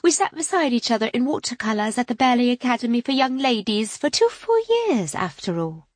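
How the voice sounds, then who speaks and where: Lady putting on a high sing-song chatterbox girl, gushes nonstop, dry close sound. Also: big pitch swings, a little fast, no echo. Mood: gushing. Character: gushing, chattering young woman milliner, showy.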